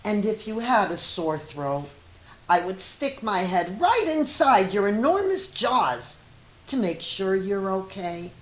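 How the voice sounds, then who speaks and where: A woman's voice: medium pitch, big pitch swings, slow. A woman voicing a loving, patient mother who reassures her small child in a storybook read-aloud. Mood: reassuring. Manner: tender, emphatic reassurance. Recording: roomy echo with faint hiss.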